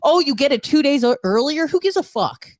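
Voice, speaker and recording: A woman's voice: sits high, lively intonation, brisk, no echo, clean studio sound. A woman co-hosting a radio show, chatting casually and giving blunt, gossipy opinions with plenty of swearing.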